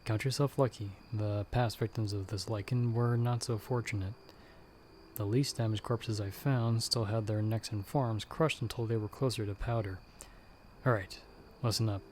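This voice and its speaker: A man playing a stern, sardonic monster hunter who guides the listener in hushed, urgent tones in an ASMR audio roleplay. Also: on the low side, steady tone, normal speed, a little hiss or hum, natural voice. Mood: tense, instructing. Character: gruff, sardonic male monster hunter, a disembodied guiding voice.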